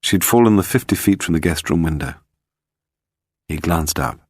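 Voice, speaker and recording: A man's voice: low-pitched, lively intonation, moderate pace, darkish tone, slightly rough, no room sound, clean studio sound. A man reading scripted narration of a crime story as an audiobook narrator.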